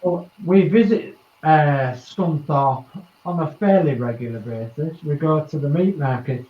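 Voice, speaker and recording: A man's voice: fairly high pitch, unhurried, reverberant, lo-fi sound. A man from East Yorkshire with a Yorkshire accent, hosting a casual group chat and rambling, with small chuckles between thoughts. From East Yorkshire, England. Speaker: host of a model-building chat.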